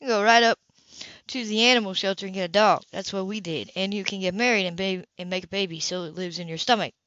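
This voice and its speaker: A woman's voice: medium pitch, fast, a bit bright, no room sound, close to an everyday voice. A woman reading a storybook voices an eager, innocent little boy who chatters in rambling run-on sentences. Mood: confident, eager. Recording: dull, lo-fi home recording.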